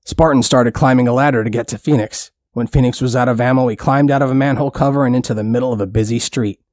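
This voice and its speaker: A man's voice: medium pitch, fairly even intonation, quick pace, darkish tone, dry recording. Dry, close male storybook narrator. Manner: plain, simple storytelling. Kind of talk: scripted bedtime story narration for children.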